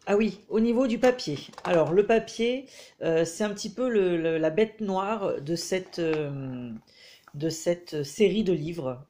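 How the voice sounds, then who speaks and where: A man's voice: high voice, dark tone, a little hiss or hum. Man with a head cold, hesitant. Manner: hesitant, frequent filler pauses. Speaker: book reviewer. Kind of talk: casual book flip-through review.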